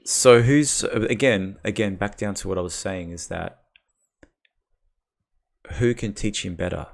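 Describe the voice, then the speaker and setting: An Australian man with a very low, gravelly voice hosts a casual live call-in chat. He asks probing questions and offers plain encouragement. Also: unhurried.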